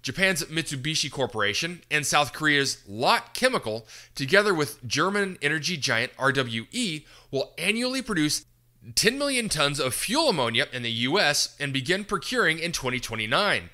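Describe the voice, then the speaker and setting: A man with a very bright, punchy voice, pitched fairly high and moving in lively swings. He presents scripted news commentary as a podcast host, with polished, emphatic delivery. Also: moderate pace.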